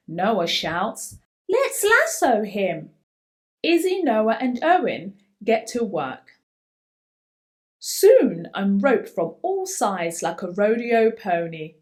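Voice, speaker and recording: A woman reading a children's picture book aloud with theatrical emphasis and drawn-out words. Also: mid-range voice, big pitch swings, a little mellow. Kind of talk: expressive picture-book read-aloud, voicing a young boy's first-person story.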